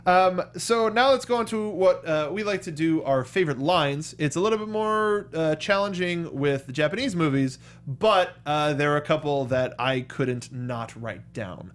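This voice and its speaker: A man's voice: a little high, normal speed, few pauses. An American man co-hosting a review show, talking casually with slangy, enthusiastic fan talk. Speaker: co-host of a film review show. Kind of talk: casual panel chat and review.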